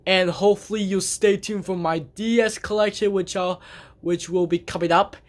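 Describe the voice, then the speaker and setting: A game collector vlogging casually about their collection, talking in a halting, rambling way with many restarts and blunt grumbles. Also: mid-range voice, fairly even intonation. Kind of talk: casual collection show-and-tell vlog. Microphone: camera on a tripod.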